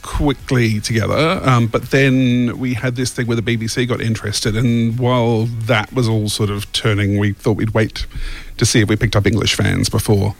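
A man's voice: on the low side, level tone, moderate pace, creaky. An Australian man chatting casually as a guest in a radio studio interview, telling stories with self-mocking humour. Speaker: radio show guest, audio comedy writer.

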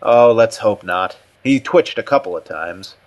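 A man's voice: lowish voice, lively intonation, a little slow, muffled recording. Man playing an unhinged, emphatic male academic. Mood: flippant, detached. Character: unhinged male academic, pleading turning eerily flippant.

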